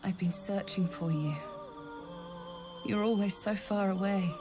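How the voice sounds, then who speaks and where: Woman voicing soothing game temptress, unhurried, breathy, possessive, coaxing near-whisper, soft music behind. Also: mid-range voice, lightly acted. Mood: seductive, soothing. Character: seductive, mysterious dream-visiting woman, soothing and possessive. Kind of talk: video game dialogue acting.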